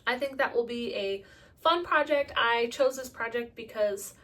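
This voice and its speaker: A woman in her late twenties chatting casually about her crafting projects in a relaxed monthly update, with the odd self-deprecating joke. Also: fairly high pitch, moderate pace, distant mic. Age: late 20s. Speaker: crafting vlogger.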